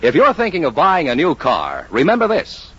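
Animated American vintage radio announcer. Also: male voice, on the high side, moderate pace, over loud music. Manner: polished salesman delivery. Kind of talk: 1950s radio announcing and advertising. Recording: muffled vintage radio recording.

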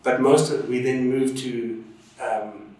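A man's voice: mid-range voice, fairly even intonation, slow pace, distant mic. A man from Zimbabwe recalls his past in a loose, rambling way, full of ums and restarts. He sits back from the microphone in a very echoey room. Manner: rambling, warm storytelling with many fillers and restarts. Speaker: interview guest, drone instructor and pilot. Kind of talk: casual conversational interview.

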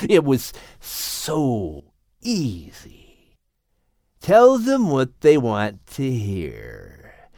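Slow, gloating villain snake. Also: male voice, fairly high pitch, darkish tone, no echo, studio quality, full character voice. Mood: taunting, menacing. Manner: gloating, taunting, drawn-out delivery. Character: menacing, boastful male king cobra villain. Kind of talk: dramatized children's audiobook acting.

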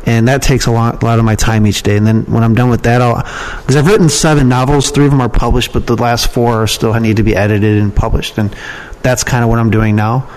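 A man speaks as an interview guest in a casual, conversational way. His delivery is informal and plain-spoken, with words often repeated for emphasis. The pitch sits in an ordinary middle range for a male voice. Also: fairly even intonation, a little fast, a little mellow, rough recording. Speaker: podcast guest, email marketer and copywriting trainer.

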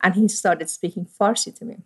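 A woman's voice: mid-range voice, brisk, darkish tone, slightly rough. A middle-aged woman with an Iranian accent, a priest telling her own story as an interview guest in an earnest, reflective way with frequent fillers. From Iran. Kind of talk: personal storytelling.

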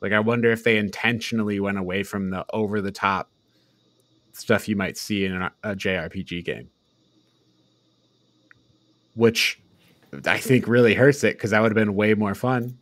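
A man's voice: lowish voice, fairly even intonation, normal speed, pauses often, fairly bright tone, dry recording. Blunt male podcast host, close dry sound. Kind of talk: casual film review discussion.

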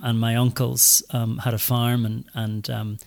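A Northern Irish man in his forties talks eagerly in a podcast interview, his voice sitting at an ordinary middle pitch. He keeps breaking off with ums and restarting his sentences. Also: flat delivery, measured pace, gravelly, darkish tone. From Northern Ireland. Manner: enthusiastic, many fillers and restarts. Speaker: school head.

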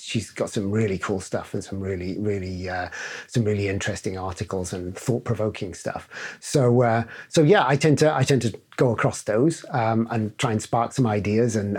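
A man's voice: lowish voice, a little mellow, husky. A man with an English and Welsh accent, a guest in an interview, talking casually with many fillers and restarts. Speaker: guest, security director. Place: studio in London.

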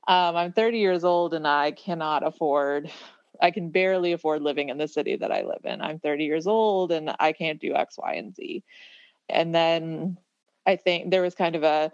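A woman's voice: low voice, normal speed, dry recording, dull audio. A woman of about thirty talking reflectively in a casual podcast conversation, building her points through repeated phrases. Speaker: podcast guest.

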